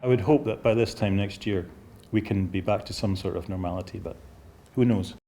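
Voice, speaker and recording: A man's voice: on the low side, a little fast, noisy background. A man from an amateur theatre group speaking earnestly in an interview.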